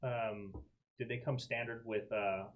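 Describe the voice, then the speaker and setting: A man playing a tabletop role-playing game, talking through options in a measured, deliberative way. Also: on the low side, fairly even intonation, dull audio. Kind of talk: in-character tabletop discussion.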